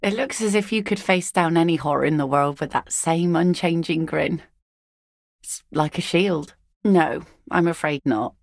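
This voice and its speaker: A woman's voice: sits low, moderate pace, gravelly, dry recording. An actress voicing a blunt, weathered fisherwoman who picks nets, speaking game voice lines in a dry, sardonic way. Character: tough weathered fisherwoman net picker, blunt. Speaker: game character.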